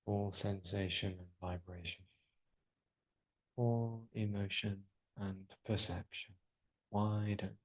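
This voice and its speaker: Slow, low-voiced man guiding relaxation. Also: flat delivery, low-quality recording. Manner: soothing, unhurried, pausing between short phrases. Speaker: yoga nidra guide. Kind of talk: guided relaxation meditation.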